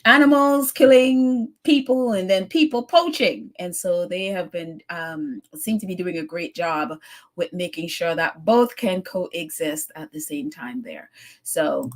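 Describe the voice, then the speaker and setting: A woman's voice: on the low side, animated, unhurried. A woman hosting a casual live stream, reading out viewer comments in a chatty, effusive way, laughing often and using plenty of fillers. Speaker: host of a royal news live stream.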